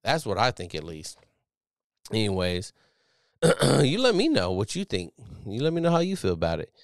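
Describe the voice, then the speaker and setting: A man in his thirties hosting a podcast, talking casually as a Navy chief, loud and profane with a strong voice. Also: lowish voice, moderate pace, no echo, studio quality. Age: millennial, 30s. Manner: animated, profane, loud and emphatic. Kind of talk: casual solo podcast commentary.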